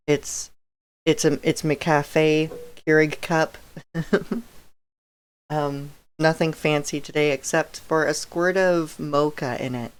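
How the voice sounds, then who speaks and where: Slow, low-voiced woman streamer. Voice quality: dry, slightly raspy throat. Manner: relaxed and rambling, with soft laughs and fillers. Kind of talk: casual live-stream chat.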